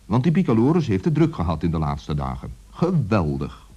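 Male narrator, very animated, low husky voice, mellow, children's storytelling, muffled old recording. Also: normal speed.

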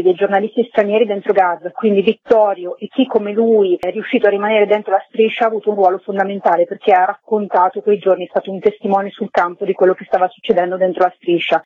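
A woman comes through a thin telephone line. She explains as a radio interview guest, at a medium pitch, with very little room sound. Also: dry recording. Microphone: telephone call. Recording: boxy phone-like line.

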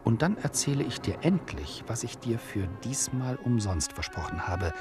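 An actor playing a teasing, argumentative storyteller who addresses his listener, with a husky voice, in a radio play. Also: medium pitch, lively intonation, normal speed, music in the background, natural voice. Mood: wry, narrating. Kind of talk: scripted radio play narration.

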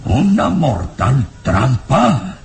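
An actor playing a frightened professor in an old radio serial, talking to himself in halting, trembling gasps as he tries to stay calm. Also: mid-range voice, slight background noise, full character voice. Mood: alarmed. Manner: trembling, halting, gasping self-talk. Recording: radio drama with music underneath.

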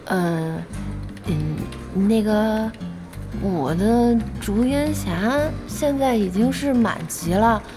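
An actress playing a lively, fiery young female internet cafe boss in a Chinese audio drama, speaking in exclamations. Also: mid-range voice, slow, close to an everyday voice. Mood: eager. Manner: exclamatory, expressive. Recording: music behind the voice.

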